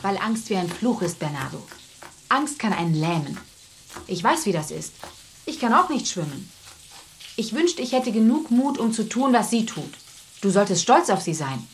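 A woman's voice: mid-range voice, sing-song, moderate pace. An encouraging old woman in a radio drama. Her intonation rises and falls freely as she coaxes and gives earnest advice, with faint noise behind her. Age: older. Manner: coaxing, earnest. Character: kindly, encouraging old woman, boy's confidante. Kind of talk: radio play acting.

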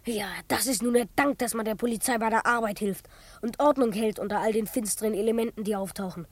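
A woman's voice: on the high side, quick pace, lightly acted. A woman playing an eager, self-important twelve-year-old boy detective in a children's radio play, earnest and easily indignant. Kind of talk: children's radio play acting and first-person narration.

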